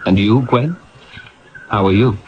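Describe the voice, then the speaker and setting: A man's voice: on the low side, unhurried, close to an everyday voice. An actor playing an earnest, inquisitive male newspaper writer in a 1970s radio drama. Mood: concerned. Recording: dull, noisy vintage radio recording.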